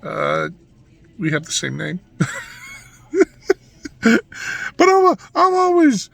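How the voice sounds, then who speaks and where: A man with a hoarse, raspy voice, talking through a casual vlog in a rambling monologue full of restarts and asides. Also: extremely high pitch, huge pitch swings, very slow, dry recording. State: hoarse from yelling. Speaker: vlogger.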